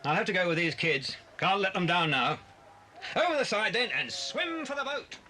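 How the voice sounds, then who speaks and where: Hearty British adventurer, high animated voice. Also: male voice, normal speed. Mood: brisk, commanding. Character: Bill, brisk hearty adult adventurer guarding children. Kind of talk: 1980s children's audio adventure drama acting. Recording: noisy old cassette story tape.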